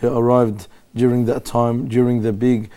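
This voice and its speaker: A middle-aged man speaks evenly and steadily, keeping his delivery flat. His tone is dark and mellow, and he lays out his points patiently for a live TV audience. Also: medium pitch. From Australia. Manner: calm, measured explanatory talk. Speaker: guest Islamic scholar. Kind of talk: live TV interview.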